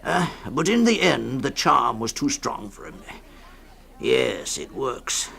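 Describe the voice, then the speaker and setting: A man playing a rambling old storyteller fond of a drink in a dramatised short story, hemming, hawing and repeating himself in a creaky voice. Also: medium pitch, big pitch swings, slow pace, sharp tone, heavily acted. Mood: musing, emphatic. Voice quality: creaky, gravelly.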